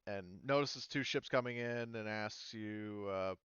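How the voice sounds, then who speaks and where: A man's voice: fairly low pitch, a little slow, fairly bright tone, smooth, dry recording, dull audio. A man narrating a tabletop game as game master, rambling with many fillers and restarts.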